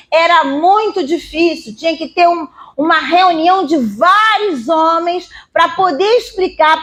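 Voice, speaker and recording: A woman with a notably high voice and a Brazilian Portuguese accent preaches in an informal teaching style. She is emphatic and didactic, repeating phrases for weight and checking that her listeners follow. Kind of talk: sermon, informal Bible teaching.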